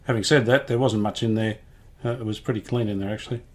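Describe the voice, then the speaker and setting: Male restorer, lowish voice, casual how-to commentary, tentative thinking-aloud delivery. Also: fairly even intonation, quick pace. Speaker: radio restorer presenting a repair series.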